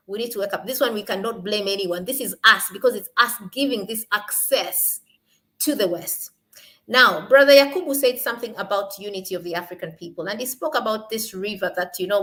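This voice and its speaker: A young woman from Kenya with a Kenyan accent, speaking at a panel in an emphatic way that rises to forceful peaks on her key points. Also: medium pitch. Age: young adult. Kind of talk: impassioned panel talk.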